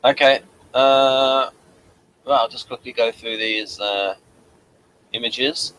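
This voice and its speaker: Bright-voiced man in casual review chat. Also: mid-range voice, slow pace, a little hiss or hum, low-quality recording. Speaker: review show host. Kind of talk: casual review chat, partly reading aloud.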